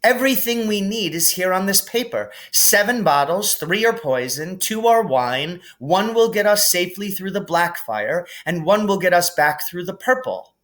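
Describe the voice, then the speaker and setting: A bright, logical young witch from a children's storybook, acted by a man reading aloud. The voice is lifted higher, with an eager, earnest schoolgirl manner and emphatic phrasing. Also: expressive, moderate pace, hardly any pauses. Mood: brisk, excited.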